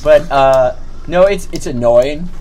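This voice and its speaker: A man's voice: on the high side, slow pace, warm tone, slight background noise. A man from Florida with a Cuban family, co-hosting a casual podcast and making his points with emphatic repetition. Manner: emphatic, repeats himself. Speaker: podcast co-host. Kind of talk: casual chat.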